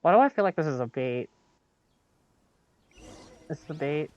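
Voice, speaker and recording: A woman's voice: sits low, expressive, measured pace, darkish tone, a little hiss or hum. A woman streaming a game, casually reacting and reading dialogue choices aloud through a muffled mic. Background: faint game music.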